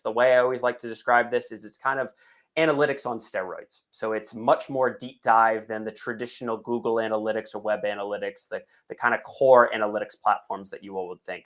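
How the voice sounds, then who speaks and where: A man's voice: mid-range voice, a bit bright. Upbeat American man on a webinar. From United States. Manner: upbeat, frequent restarts and fillers. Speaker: guest, onboarding executive. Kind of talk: webinar interview.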